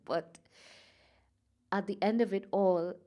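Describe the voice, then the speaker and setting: A woman from Trinidad and Tobago, a guest in an interview, talking softly and reflectively with many pauses and fillers. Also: medium pitch, fairly even intonation. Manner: soft, reflective, halting with fillers. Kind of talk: personal interview.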